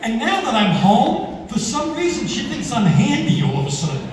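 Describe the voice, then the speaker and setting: A man's voice: very high voice, normal speed, slight background noise. Sixty-year-old man doing stand-up in a hall. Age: 60s. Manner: deadpan self-mocking delivery. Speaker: stand-up comedian. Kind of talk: stand-up routine. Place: large theater stage. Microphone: handheld mic, filmed from far in the audience. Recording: echoey hall with crowd, from far off. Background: audience laughter and applause.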